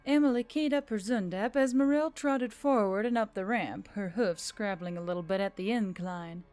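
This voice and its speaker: A woman's voice: mid-range voice, normal speed, darkish tone. A woman reading scripted fiction as an audiobook narrator. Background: music.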